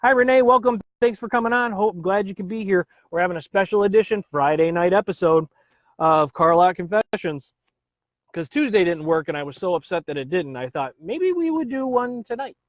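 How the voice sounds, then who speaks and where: Guy running his own live stream, upbeat and chatty, bit high voice, dry close mic. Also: animated, a little fast, no echo. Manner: upbeat, greets viewers by name, chatty with filler uhs. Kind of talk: casual live stream chat.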